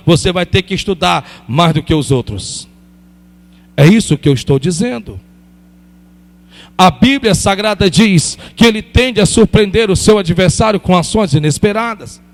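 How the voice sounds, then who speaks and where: A high-pitched, gravelly male preacher, driving his points home with insistent repetition. His voice rings out in a church hall with a long reverb tail. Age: 50s. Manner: repetitive, emphatic, calls on the congregation. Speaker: pastor. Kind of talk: sermon.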